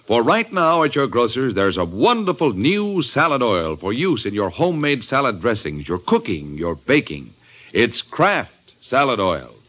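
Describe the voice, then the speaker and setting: A man's voice: mid-range voice, a bit bright. A man announcing and narrating a scripted 1950s radio show. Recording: noisy old radio recording.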